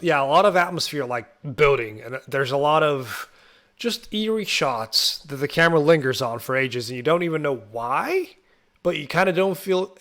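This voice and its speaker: A man co-hosting a podcast, chatting casually about a film in a rambling, excitable way. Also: fairly high pitch. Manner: rambling, excitable, does sound effects and quoted voices. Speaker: podcast co-host.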